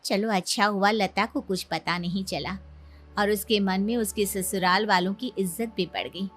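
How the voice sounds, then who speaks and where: A woman's voice: mid-range voice, fairly even intonation, normal speed, a bit bright, faint music behind, natural voice. Woman playing an anxious, placating mother. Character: anxious, accommodating middle-aged mother of the bride.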